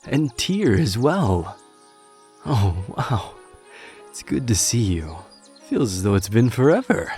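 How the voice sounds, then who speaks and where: A man's voice: mid-range voice, sing-song, slow pace, darkish tone, soft background music. A man playing a welcoming, regal wolf king in an ASMR fantasy audio roleplay. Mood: pleased, amazed.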